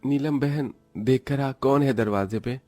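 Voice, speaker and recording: A man voices an earnest young laborer in a cartoon story, polite and anxious. His voice is mid-pitched and recorded dry. Light music runs underneath. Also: a little slow, mellow, dry recording, natural voice. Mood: concerned. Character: earnest, worried young working son, polite.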